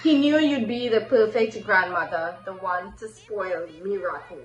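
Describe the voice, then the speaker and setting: A woman's voice: medium pitch, a little slow, dull audio. A woman giving a personal farewell tribute to a family member. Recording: echoey room, faint music behind.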